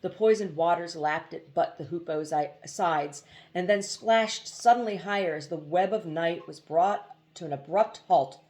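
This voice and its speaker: A woman reads a storybook aloud in a lower-pitched voice. Her narration is expressive, in the manner of an amateur audiobook reader with a casual touch. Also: a little slow, poor audio.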